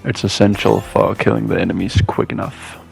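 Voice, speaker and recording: A man with a fairly low, slightly gravelly voice narrates a video game walkthrough. He speaks as a guide reading through prepared steps, with faint music behind him. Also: little pitch movement, brisk, darkish tone.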